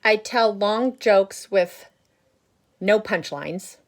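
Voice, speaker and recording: A grumpy little male seed from a picture book, acted out by a woman, confesses his badness with some pride. The delivery is slow and emphatic, stretching out the key words. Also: medium pitch, pitched above the performer's own voice. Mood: defiant, boastful. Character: boastful, grumpy little male sunflower seed, self-declared bad. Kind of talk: picture-book character read aloud.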